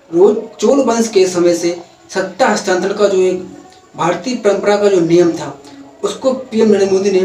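A young man with a high, mellow voice talks through a news story to the camera. His delivery is earnest and steady, and he sometimes restarts a phrase. He sounds a bit far from the mic, in an echoey room with faint background noise. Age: young adult. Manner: earnest, steady explanatory delivery with occasional self-corrections. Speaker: news presenter. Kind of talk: opinionated news explainer to camera. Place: indoor room, parliament backdrop added behind. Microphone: camera a few feet away, no mic in view.

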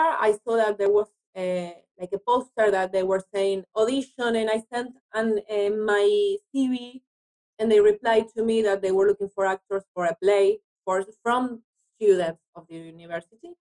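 A woman's voice: mid-range voice, moderate pace, darkish tone, poor audio. A woman from Argentina, a native Spanish speaker with an Argentinian accent, talking conversationally as an interview guest about her work as an actress.

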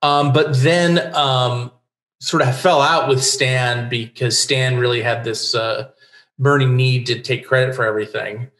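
A man's voice: mid-range voice, steady tone, unhurried, fairly bright tone. Middle-aged American man, roomy sound. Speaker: interview guest, playwright. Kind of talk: casual explanatory interview talk. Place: home room lined with bookshelves. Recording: roomy home video call.

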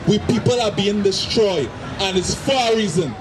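A middle-aged man with a Trinidadian accent, preaching forcefully outdoors into a handheld mic and repeating his points. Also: sits high, normal speed. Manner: forceful, repetitive, shouting at times. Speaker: street preacher. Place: city street outdoors, crowd around. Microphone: handheld mic through a loudspeaker. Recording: noisy street with crowd.

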